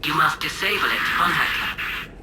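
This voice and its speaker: Haughty alien admiral over radio. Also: female voice, sits low, normal speed, roomy sound, noisy background. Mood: cold, imperious. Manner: formal, clipped, imperious. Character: cold, haughty female alien admiral, imperious. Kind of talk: dramatic video game acting.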